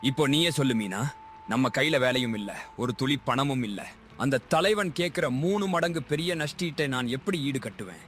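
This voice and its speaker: A man's voice: mid-range voice, expressive, normal speed, fairly bright tone, a little hiss or hum, lightly acted. A man playing a poor, sickly labourer in a story drama, humble and pleading in manner. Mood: despairing. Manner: pleading, deferential. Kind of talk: dramatised moral story acting.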